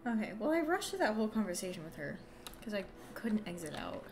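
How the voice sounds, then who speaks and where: A woman's voice: mid-range voice, big pitch swings, quick pace, creaky, a little hiss or hum. A woman giving casual, chatty live commentary as she plays a video game, self-deprecating and mildly exasperated. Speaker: game streamer. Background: video game music.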